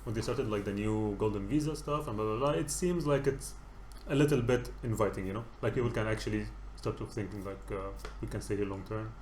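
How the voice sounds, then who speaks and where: A man's voice: on the low side, a little fast, hardly any pauses, a little mellow, slight background noise. A man around thirty, originally from Egypt, chatting casually as a podcast guest, laughing often and trailing off mid-sentence. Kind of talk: casual chat. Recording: echoey room with faint ambience.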